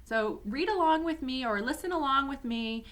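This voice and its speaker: A woman's voice: high voice, normal speed. Woman reading a children's storybook aloud. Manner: expressive, addresses young listeners directly.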